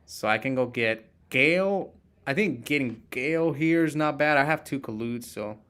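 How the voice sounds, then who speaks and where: Bright-voiced man live-streaming a card game. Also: mid-range voice, lively intonation, moderate pace, smooth. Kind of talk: casual live game commentary, chatting with viewers.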